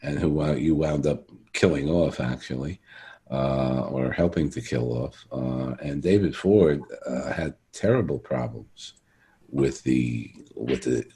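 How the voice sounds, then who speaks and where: A man hosting a podcast interview in an effusive, halting way, rephrasing his questions as he goes. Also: extremely low pitch, dark tone. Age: middle-aged or older. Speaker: podcast host interviewing an actor. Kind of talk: enthusiastic, conversational interviewing.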